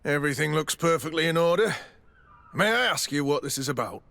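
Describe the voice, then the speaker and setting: A man's voice: on the high side, animated, a little fast, gravelly, pushed and bright, full character voice. A man playing a dutiful, courteous military quartermaster in a video game, polite and businesslike. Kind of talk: video game dialogue acting.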